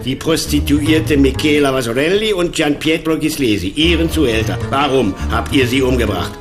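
An actor playing a methodical, matter-of-fact police interrogator in a radio crime drama. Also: male voice, mid-range voice, moderate pace, natural voice. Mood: probing. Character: methodical male police interrogator, controlled and probing. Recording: studio radio play with music underneath.

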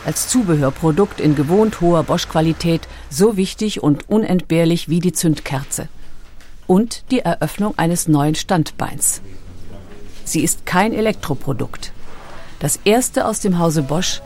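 A woman narrating a scripted documentary in a measured, composed manner. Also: fairly low pitch, mellow, slight background noise.

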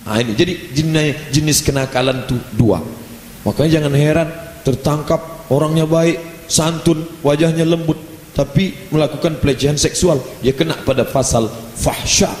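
Animated preacher in an echoing hall. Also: male voice, a little high, normal speed. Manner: lively, animated delivery with playful asides. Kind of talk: religious lecture. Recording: roomy hall echo, faint ambience.

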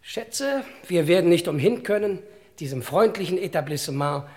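An actor playing a wisecracking, deferential detective's sidekick in an old German crime radio play, speaking in droll, mock-formal understatement. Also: male voice, high-pitched, lively intonation, normal speed, a little mellow.

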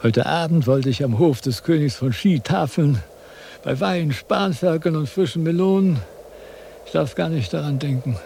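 An older actor playing a vain, grumbling old wandering philosopher in a radio drama, declaiming theatrically and questioning himself. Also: male voice, on the high side, normal speed, gravelly, warm tone. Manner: declamatory, self-questioning, cantankerous. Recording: noisy 1970s radio drama with sound effects.

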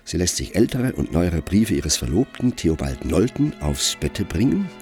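A man narrating a literary radio play in a measured, grave storytelling manner. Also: low voice, very animated, darkish tone, soft background music.

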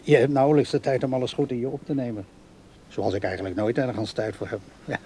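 Man acting lonely old grandpa in a radio drama, soft dark voice, halting n a bit pushy, faint noise. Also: fairly low pitch, natural voice. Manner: halting, searching for words. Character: lonely, hesitant old widowed grandfather, awkwardly insistent. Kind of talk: Dutch radio play acting.

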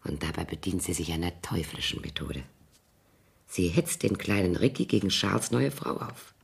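An actress playing a tipsy, scheming older former nanny in a radio crime drama, brooding and halting in a theatrical way. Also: female voice, rumbling low, lively intonation, exaggerated voice. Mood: grim, secretive. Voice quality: husky, creaky. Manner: brooding, halting, theatrical delivery dropping into whispers.

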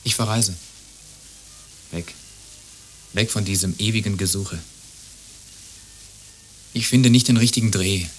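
An actor playing a courteous, evasive, lovelorn neighbour in a radio drama, earnest and often breaking off mid-sentence. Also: male voice, on the low side, unhurried, pauses often, a little hiss or hum, natural voice. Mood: evasive, resigned. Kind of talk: radio play dialogue.

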